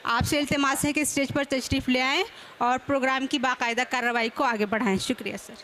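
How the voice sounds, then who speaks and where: A woman's voice: high voice, steady tone, brisk, bright, forceful tone. A woman announcer reading formal, courteous introductions at a seminar, occasionally stumbling over the script. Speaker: event announcer. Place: public seminar with a stage. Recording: clear background noise, event ambience.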